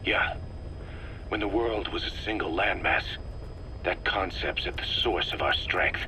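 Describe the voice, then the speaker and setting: An actor playing a stern, sceptical military interrogator in a video game, speaking in a terse, guarded, deadpan way. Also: male voice, sits low, unhurried, rough recording. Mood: probing, measured. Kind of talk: dramatic video game voice acting. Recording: faint background noise, cassette tape interrogation.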